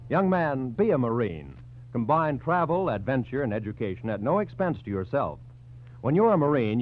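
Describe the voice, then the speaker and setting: Higher-voiced American 1940s radio announcer man. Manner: polished, formal, measured announcer delivery. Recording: dull 1940s radio recording with music. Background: music.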